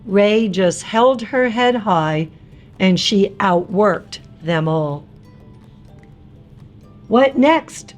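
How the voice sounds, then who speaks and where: A woman narrating a rhyming picture book for young children in an expressive, theatrical and emphatic way. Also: medium pitch, very slow, pauses often, faint music behind.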